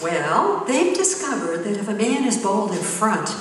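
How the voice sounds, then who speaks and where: A woman's voice: mid-range voice, very animated, unhurried. An older woman playing a sly, needling wife in a scripted stage comedy routine with her husband. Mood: teasing. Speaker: comedy duo performer. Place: theater stage before an audience. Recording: echoey theater hall, distant mic, faint noise.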